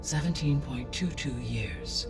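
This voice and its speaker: A woman playing a composed, learned artificial intelligence in a video game, speaking in a measured, formal and precise way. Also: extremely low pitch, animated, exaggerated voice. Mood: calm, analytical. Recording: distant sound, clear game music behind. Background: music.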